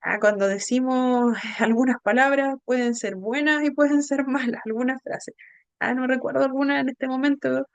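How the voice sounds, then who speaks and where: A woman with a Chilean Spanish accent giving an informal lecture as an instructor, halting as she searches for words and adding frequent tag questions. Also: high-pitched, fairly even intonation, smooth, no room sound. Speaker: instructor of an inclusive education class.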